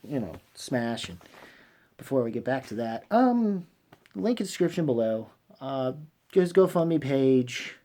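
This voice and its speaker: A man giving casual, rambling vlog commentary, haltingly repeating himself and trailing off mid-thought in informal language. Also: mid-range voice, dark tone. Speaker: vlogger hosting a fan channel. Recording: clearly noisy recording with clicks.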